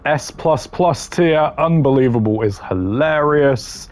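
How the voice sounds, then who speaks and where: A man's voice: a little high, expressive, takes it slowly. A man giving casual, opinionated gaming commentary in a blunt, slangy way, ranking voice lines. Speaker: gamer ranking game voice lines.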